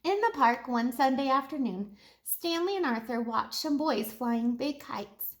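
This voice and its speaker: High, very animated woman storybook reader. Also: a little slow, echoey room. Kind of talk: animated read-aloud children's story narration.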